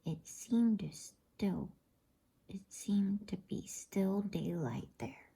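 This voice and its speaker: A woman reading a bedtime story in a soft, breathy ASMR whisper, gentle and unhurried. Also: medium pitch, expressive.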